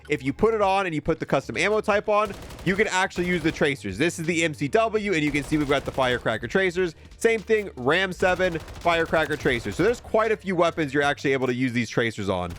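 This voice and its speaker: A man's voice: a little high, a bit bright. A man hosting a gaming video, giving casual showcase commentary on a video game.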